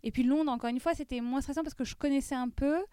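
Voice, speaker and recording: A woman's voice: high-pitched, quick pace, warm tone, dry recording, clean studio sound. A woman in her thirties telling a personal story as an interview guest, hesitant with frequent restarts and fillers.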